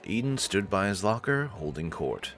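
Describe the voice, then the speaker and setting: A man's voice: lowish voice, big pitch swings, normal speed, fairly bright tone, some chatter behind. A man narrating a story game in a theatrical, playful way, with casual asides. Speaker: narrator and host reading a visual novel.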